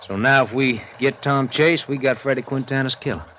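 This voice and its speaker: An American actor playing a seasoned, skeptical police lieutenant in a 1950s radio crime drama, laconic, folksy and questioning. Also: male voice, mid-range voice, a little fast, sharp tone, natural voice. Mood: dry, resigned. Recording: muffled, noisy vintage radio recording.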